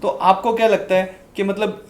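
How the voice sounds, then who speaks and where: A male podcast host with a slightly high voice, heard in a very roomy echo. He builds his questions in a hesitant, roundabout way, mixing in English terms. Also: level tone, moderate pace. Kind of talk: conversational interview questioning. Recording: echoey, roomy sound.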